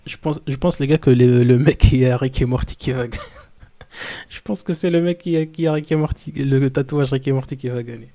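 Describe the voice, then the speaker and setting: A man streaming live in French, which is not his first language, chatting loosely and haltingly while he sets up. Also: medium pitch, mellow. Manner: halting, loose, talks to himself while setting up. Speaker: streamer reacting to videos. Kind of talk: casual live-stream chat.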